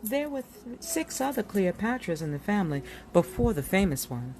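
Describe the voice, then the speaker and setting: A woman giving an expressive, deliberate reading of an illustrated book as a narrator, with dramatic delivery. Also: medium pitch. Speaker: reader presenting a book chapter. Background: music.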